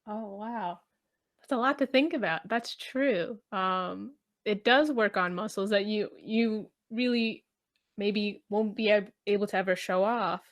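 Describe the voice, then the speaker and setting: A woman hosting an interview, responding easily and asking questions in a relaxed, casual way. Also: mid-range voice, unhurried. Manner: easygoing, responsive, with fillers. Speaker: interviewer, host.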